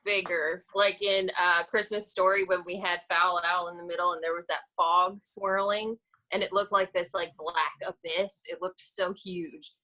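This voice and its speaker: A woman's voice: on the low side, fairly even intonation, moderate pace, pushed and bright, rough recording. A woman chatting casually with fellow cast members on a video call. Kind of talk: casual chat. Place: online group video call.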